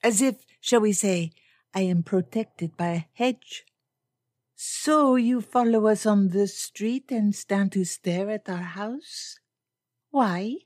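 A woman's voice: medium pitch, takes it slowly, darkish tone, no echo, clean studio sound, pitched above the performer's own voice. A woman voicing a poised, grave young foreign lady in an audiobook, with quaint formal phrasing and a subtly teasing manner.

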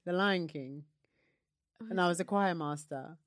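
A woman's voice: fairly low pitch, no echo. A woman co-hosting a podcast, chatting casually and telling long, laughing stories. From London. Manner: chatty, laughs often, drawn-out storytelling. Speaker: podcast co-host and DJ. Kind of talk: casual chat.

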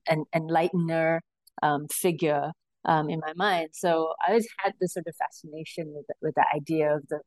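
Woman from Singapore, academic, thoughtful, hesitant, lower voice, dry recording. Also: a little mellow, dry recording, rough recording. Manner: thoughtful, many ums and sort-ofs. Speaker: author, professor. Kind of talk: interview answers.

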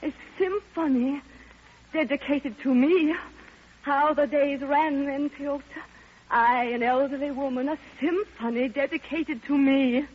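An actress playing a passionate, lonely elderly widow, theatrical and yearning, in an old radio drama. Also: female voice, high-pitched, unhurried. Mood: elated. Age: older. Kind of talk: 1950s radio drama acting. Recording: dull old radio recording, faint music behind.

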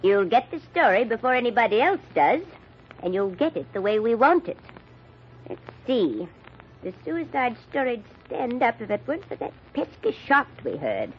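An actress playing a cool, scheming middle-aged matriarch in an old-time radio mystery, haughty and controlled in manner. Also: female voice, a little high, sing-song, moderate pace, slightly rough, lo-fi sound. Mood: cool, calculating. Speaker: radio drama actor.

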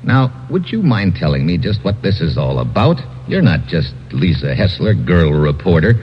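Hard-boiled American action hero, low and animated, terse radio-thriller delivery, muffled vintage recording. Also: male voice, normal speed, a little hiss or hum. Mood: suspicious. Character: tough, hard-boiled American male adventurer narrating his exploits. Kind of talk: old-time radio drama acting, first-person narration.

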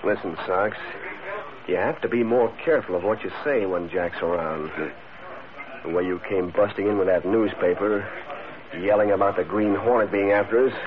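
An actor playing a stern, suspicious, hard-boiled crook boss in a 1940s radio drama. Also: male voice, fairly low pitch, normal speed, close to an everyday voice. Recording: tinny, narrow old radio recording, crowd behind.

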